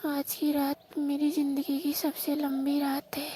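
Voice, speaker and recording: Female actor as young newlywed bride, plaintive and complaining, dark even tone, slightly noisy phone recording. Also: high voice, unhurried. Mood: weary, exasperated. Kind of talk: staged phone-call prank drama in Hindi.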